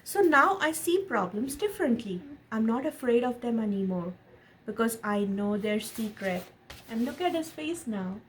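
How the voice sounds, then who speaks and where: A woman reading a picture book aloud in an expressive storytelling style, pausing to explain and ask questions of a child and viewers. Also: on the high side, low-quality recording. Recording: noticeable background noise.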